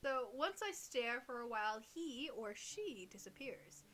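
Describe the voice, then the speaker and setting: Lively, bright-voiced young woman. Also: on the high side, unhurried. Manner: giggly, teasing, irreverent. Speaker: co-host reading listener stories. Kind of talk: casual chat reading creepy stories aloud.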